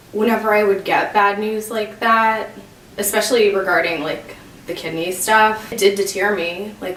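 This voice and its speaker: A woman's voice: lowish voice, a bit bright, a little hiss or hum. A woman in her thirties in a documentary interview, speaking matter-of-factly with frequent fillers. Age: 30s. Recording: echoey room, voice from a distance.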